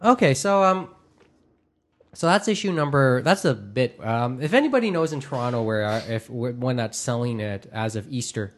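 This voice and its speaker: A man hosting a podcast in casual chat, with a halting, rambling delivery full of false starts. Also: medium pitch, lively intonation, slight background noise. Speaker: podcast host. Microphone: microphone through a mixer.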